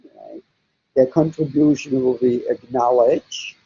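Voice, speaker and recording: Slow, gravelly older man, German native. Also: mid-range voice, dark tone. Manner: slow, deliberate, frequent hesitations. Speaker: interviewee psychologist. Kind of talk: recorded interview answering questions. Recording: dull lo-fi recording.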